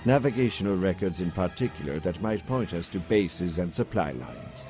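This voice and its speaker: Alien naval admiral played by a man: deep, unhurried and formal, coolly calculating, with music under the lines. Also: lively intonation. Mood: composed. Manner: measured, formal, composed. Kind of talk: scripted comic dub acting.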